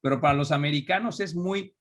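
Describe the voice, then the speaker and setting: A young adult man from Mexico with a Mexican accent, speaking as an interview guest in a relaxed, reflective way with frequent restarts. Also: on the high side, a little fast. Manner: thoughtful, restarts often, reflective. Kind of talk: conversational interview.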